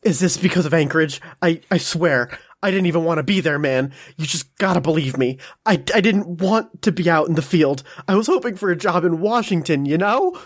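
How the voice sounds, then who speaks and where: A man plays a frightened captive soldier in a game audio diary. He stammers and pleads in a slightly high voice, bewildered and whiny. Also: expressive, fairly quick, hardly any pauses. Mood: pleading, panicked.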